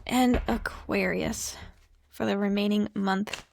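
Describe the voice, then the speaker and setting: Slightly hoarse, slow-talking woman tarot reader. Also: mid-range voice, steady tone, noisy background. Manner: chatty and reflective, thinking aloud. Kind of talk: casual tarot reading to camera.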